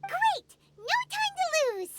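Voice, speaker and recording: A woman voicing a tiny, excitable female fairy companion in a video game, who speaks of herself in the third person. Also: very high voice, slow, heavy background noise, exaggerated voice. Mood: excited. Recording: game dialogue over loud soundtrack.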